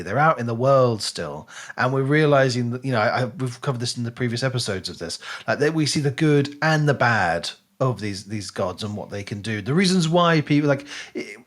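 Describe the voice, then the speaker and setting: A man's voice: medium pitch, a bit bright. A man co-hosting a book podcast in casual discussion, often voicing imagined reactions as he talks.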